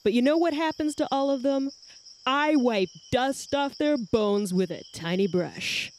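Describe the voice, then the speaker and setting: A woman's voice: high voice, measured pace, darkish tone, a little hiss or hum. A woman playing a tabletop game in character, with a wry, playful way of talking. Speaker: player.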